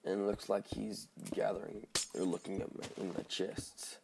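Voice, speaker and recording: A man with a slightly hoarse, just-woken voice giving casual, halting gameplay commentary as he thinks aloud. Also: fairly low pitch, steady tone, heavy background noise. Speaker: gaming video host.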